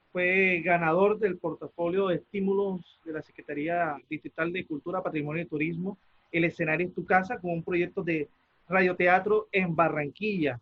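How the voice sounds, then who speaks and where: A man with a Colombian accent moderating an arts presentation, speaking off the cuff in a halting, formal way as he searches for words. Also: sits high, lo-fi sound. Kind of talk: spontaneous formal event introduction.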